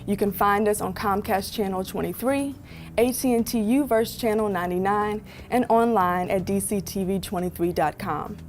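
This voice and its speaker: A woman's voice: mid-range voice, normal speed, soft background music. A woman hosting a local government program, delivering a friendly scripted sign-off. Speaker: program host.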